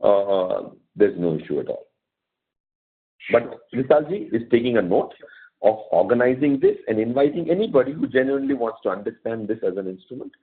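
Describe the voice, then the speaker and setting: Male executive, Indian accent, mid pitch, polite and emphatic explainer on a dull conference line. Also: animated, fairly quick. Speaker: company executive answering investor questions. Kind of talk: earnings call answers, unscripted explanation. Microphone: conference call line.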